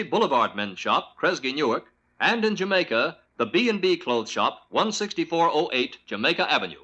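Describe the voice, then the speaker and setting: A man reading a list of local stores as announcer in an old radio ad. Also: on the high side. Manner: brisk, bright list reading.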